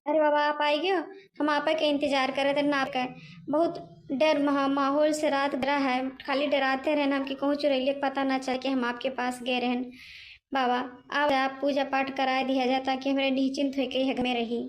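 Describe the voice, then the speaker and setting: A woman's voice: sits high, flat delivery, moderate pace, close to an everyday voice. A woman voicing a timid, sly old village mother-in-law in a cartoon comedy, rambling and placating with an Awadhi accent. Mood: anxious, pleading. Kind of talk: amateur cartoon comedy voice acting. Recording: music behind the voice.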